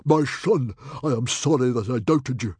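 A man voicing a proud, booming middle-aged Viking chief who bellows and blusters. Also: moderate pace, a little mellow, breathy edge, no echo, lightly acted. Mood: boisterous pride.

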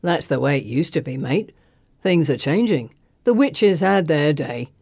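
A knife-wielding male villain, performed by a woman narrator, pitched well below her usual voice. He drawls his threats with a taunting sneer, in a close, dry audiobook recording. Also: lively intonation, a little slow, a little mellow, dry recording, heavily acted. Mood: sneering menace. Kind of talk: audiobook character voice.

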